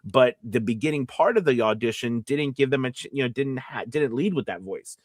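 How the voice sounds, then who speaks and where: A man's voice: medium pitch, brisk, no room sound, studio quality. Rambling man co-hosting a casual live stream. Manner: rambling, false starts and self-interruptions. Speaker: co-host, voiceover casting director and coach. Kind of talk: casual live-stream chat answering viewer questions.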